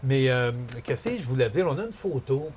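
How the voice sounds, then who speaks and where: A middle-aged man with a Quebec French accent, chatting casually as a podcast host in an excitable, colloquial, exclamatory and scattered way. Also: mid-range voice, normal speed. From Quebec, Canada. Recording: clearly noisy background ambience. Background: ambient noise.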